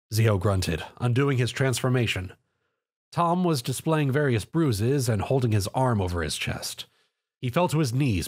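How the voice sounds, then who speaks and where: A man reading an action story aloud as a scripted narration in an animated way. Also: mid-range voice, breathy edge.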